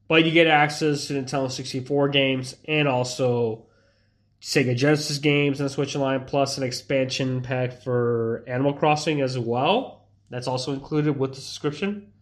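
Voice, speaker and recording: A young man with a stuffy, congested voice talks to his viewers in a loose, rambling way. He keeps restarting his sentences and leaning on fillers as he chats. His pitch sits in a middling range for a man. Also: fairly even intonation, moderate pace. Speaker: streamer. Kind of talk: casual live-stream chat.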